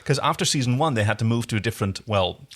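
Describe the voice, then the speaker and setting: A man co-hosts a podcast in a medium-pitched voice. He chats casually and freely, with animated, opinionated delivery. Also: fast talker. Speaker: podcast co-host. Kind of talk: casual chat.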